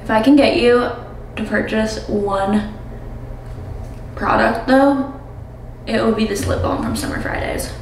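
A woman's voice: on the high side, darkish tone. An American woman in her twenties chatting casually to camera as a vlogger, in a talkative style peppered with "like.". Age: 20s. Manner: chatty, frequent "like". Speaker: lifestyle vlogger. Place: home bathroom with hard surfaces. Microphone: camera a step or two away. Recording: roomy, distant mic, faint noise.

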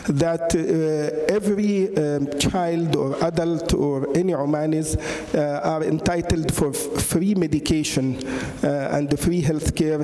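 Middle-aged Egyptian-accented man, doctor on a panel, mellow and a bit high, halting delivery, echoey hall. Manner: hesitant, many fillers and restarts. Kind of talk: conference panel answer. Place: large conference hall on stage. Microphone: handheld mic. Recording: echoey hall with long reverb.

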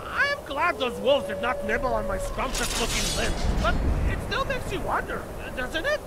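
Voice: high voice, huge pitch swings, heavy background noise, heavily acted. An actor voicing a talkative, absent-minded rat creature in a fantasy game, rambling through tall tales and counting as it goes. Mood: rambling, musing. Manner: rambling storyteller, pausing to count numbers. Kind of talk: scripted comic fantasy game storytelling.

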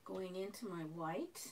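An older woman teaching a painting lesson, talking slowly and calmly while she works, losing her voice and clearing her throat often. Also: on the low side, slight background noise. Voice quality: gravelly voice. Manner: slow, calm, unhurried talk. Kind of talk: live painting lesson, thinking aloud. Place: home art studio, indoors.

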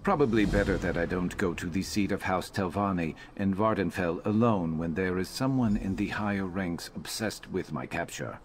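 A very deep-voiced, brooding beast-folk mercenary, acted out by a man, speaking in terse deadpan lines like a guarded fantasy game companion. His delivery is flat and slightly robotic, with music playing behind him. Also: moderate pace, hardly any pauses, slight background noise, natural voice. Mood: low-key, earnest. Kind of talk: scripted fantasy video game dialogue.

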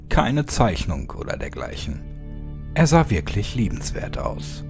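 A man reading a scripted horror story as an audiobook narrator, measured and suspenseful, with dramatic pauses. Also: mid-range voice, darkish tone. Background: music.

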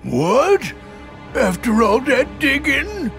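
A man voicing a slangy, complaining, slow-witted henchman in a cartoonish video game. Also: very high-pitched, big pitch swings, vocal fry, fairly bright tone, soft background music, exaggerated voice. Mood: exasperated. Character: grumbling slow-witted thuggish henchman of a villain.

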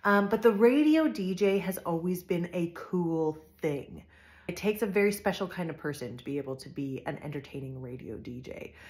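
A woman in her thirties chatting casually and conversationally to camera at home, recorded on a phone at arm's length. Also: on the low side. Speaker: vlogger. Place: furnished living room at home.

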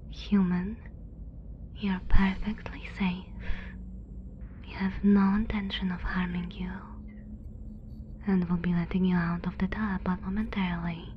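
A woman playing a composed, cautious alien scientist in an ASMR roleplay, in a soft breathy whisper with a measured, formal, reassuring manner. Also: medium pitch, lo-fi sound, slight background noise, close to an everyday voice. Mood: calm reassuring.

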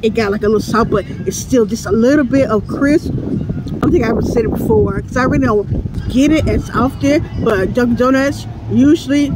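A woman's voice: a little high, moderate pace. A woman in her thirties reviewing food outdoors, talking casually and playfully into a phone at arm's length between bites. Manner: chatty, playful, laughs often while eating. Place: outdoors beside a building, near traffic. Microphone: phone camera at arm's length. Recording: outdoor phone recording with traffic noise.

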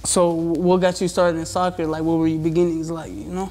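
Higher-pitched young man, fairly flat intonation. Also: fairly quick. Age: 20s. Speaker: interview host. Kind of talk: casual chat, slangy.

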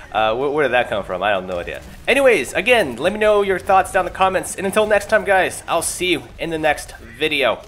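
A man's voice: on the high side, a bit bright. A young man doing casual gaming commentary as a video creator, chatty, informal and self-deprecating. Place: voice-over recorded apart from the gameplay. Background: music.

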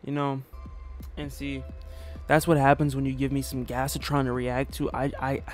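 A young man chats through a music reaction in his small bedroom, laid-back and full of slang and curses. His voice sits at an ordinary pitch for a man. A hip hop track plays faintly behind him. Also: completely flat delivery, normal speed. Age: young adult. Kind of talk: casual reaction commentary. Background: hip hop track playing.

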